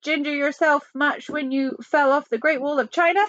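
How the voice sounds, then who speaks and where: A woman voicing an excitable, childish, blustering male president in a storybook reading, loud and indignant. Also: high voice, moderate pace, dull audio, voice pushed up.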